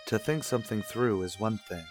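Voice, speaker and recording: Man acting as solemn soap narrator, lowish voice, measured, dramatic, vintage radio style, music behind. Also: lightly acted. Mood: solemn, portentous.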